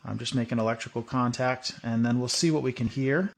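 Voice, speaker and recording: A man hosting a hands-on tutorial, thinking aloud in a relaxed way while working at a workbench. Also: mid-range voice, fairly quick, gravelly. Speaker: video host.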